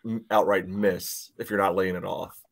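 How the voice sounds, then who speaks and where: A man's voice: low voice, fairly even intonation, moderate pace, creaky. A man giving casual match analysis as a soccer podcast panelist on a video call, often padding with filler phrases.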